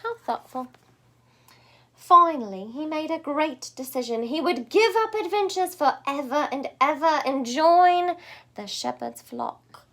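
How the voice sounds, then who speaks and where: A woman's voice: sits high, lively intonation, unhurried, a bit bright. A woman reading a children's story aloud, expressively and with chatty little asides. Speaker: storybook reader.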